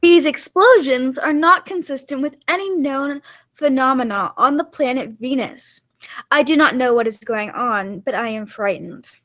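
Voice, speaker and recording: A woman's voice: high-pitched, moderate pace, fairly bright tone. A woman playing a solemn, self-important scientist's assistant, stiff and dramatic, in a radio play. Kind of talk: melodramatic radio play acting.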